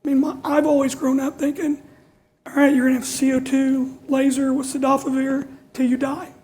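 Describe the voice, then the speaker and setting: A middle-aged woman answering as a patient on a panel, halting and earnest, repeating words as she searches for them. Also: high voice, level tone, mellow.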